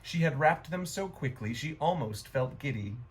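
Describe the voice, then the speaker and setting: A man reading a rhyming children's story aloud in a gentle, expressive storytime manner. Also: on the high side, normal speed.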